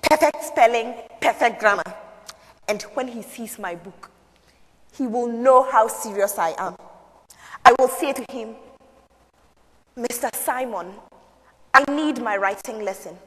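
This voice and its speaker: A young Rwandan survivor, played by a woman, dreamy, proud and wistful. She speaks very slowly in a fairly high voice, with theatrical pauses and emphasis. It is a solo stage monologue, ringing through a reverberant hall. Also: lightly acted. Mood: eager, nervous. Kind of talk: solo dramatic interpretation on stage. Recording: echoey hall.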